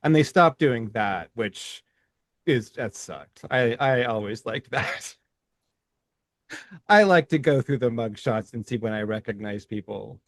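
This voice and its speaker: A man's voice: mid-range voice, expressive, slow pace, no room sound, clean studio sound. A man co-hosting a podcast in casual conversation who laughs often and stammers over his restarts. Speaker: podcast co-host.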